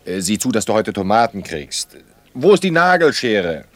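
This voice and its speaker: A man's voice: lowish voice, sing-song, moderate pace, a bit bright, dry recording. An actor playing a wry, teasing man who acts out the part of husband and father in an intimate radio drama. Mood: grumbling, teasing.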